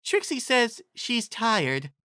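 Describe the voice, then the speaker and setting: A man voicing an earnest young female pegasus pony, with slow, drawn-out, simple phrasing. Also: extremely high pitch, very animated, sharp tone, dry recording, studio quality, pitched above the performer's own voice, heavily acted. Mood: earnest, tired.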